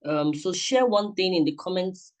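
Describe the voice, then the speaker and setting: A man's voice: high voice, animated, normal speed. A man giving a motivational talk as a presenter at an online summit, earnest and coaching, often repeating himself, speaking into a computer mic on a video call. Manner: earnest, repetitive, coaching directly.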